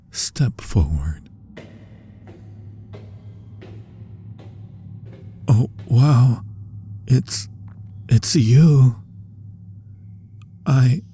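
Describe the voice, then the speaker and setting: Guy doing a lovesick vampire prisoner for asmr roleplay, genteel but unhinged, mellow husky voice, theatrical and whispery. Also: medium pitch, full character voice. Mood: courtly, tender. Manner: theatrical, formal, slow and halting, murmuring into whispers.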